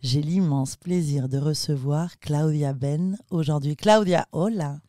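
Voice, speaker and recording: Woman podcast host from south america, lowish mellow voice, interviewing someone, dry close sound. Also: measured pace, dry recording. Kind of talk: podcast introduction and interview questions.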